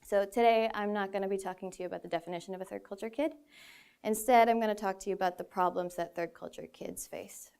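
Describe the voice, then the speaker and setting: A woman's voice: mid-range voice, fairly even intonation, fast talker, gravelly, darkish tone, clear, resonant tone. A woman in her twenties from the Philippines, giving a prepared stage talk in an earnest way with a few light laughs at herself. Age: 20s. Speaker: speaker. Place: stage in a large hall.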